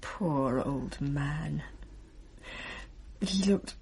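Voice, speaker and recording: An actress playing a sorrowful, haunted woman, speaking in a hushed, husky, halting voice in a radio play. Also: low voice, heavily acted. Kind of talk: radio drama acting.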